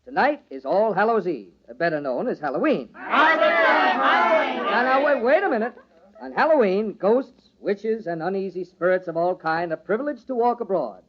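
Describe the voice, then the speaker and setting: High-pitched theatrical party host. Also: male voice, expressive, moderate pace, breathy edge. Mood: mock-grand, playful. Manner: theatrical mock-pompous speechmaking, hushed urgency in private. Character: jovial party host, a secretly scheming married lover. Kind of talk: old-time radio drama acting. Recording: dull old radio recording, organ music behind.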